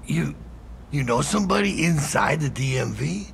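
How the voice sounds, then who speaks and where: An actor playing a cocky, playful middle-aged crime boss in a video game, with a gravelly voice and a halting, folksy drawl. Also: male voice, fairly high pitch, animated, a little hiss or hum. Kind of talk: cinematic video game acting.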